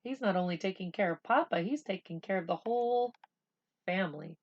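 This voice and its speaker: A woman reading a storybook aloud for children, with expressive, deliberate phrasing. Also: medium pitch, lo-fi sound. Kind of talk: read-aloud storytelling with simple asides.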